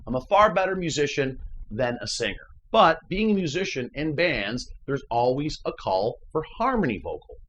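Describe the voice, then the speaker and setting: A man with a baritone voice, a musician hosting his channel, talks earnestly and emphatically in a casual motivational chat. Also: sing-song, normal speed, a bit bright, highs cut off, slight background noise.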